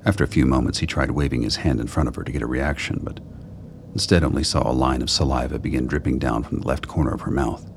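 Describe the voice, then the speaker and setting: A man with a very deep, gravelly voice reading scripted narration for a radio play. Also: steady tone, fast, background noise.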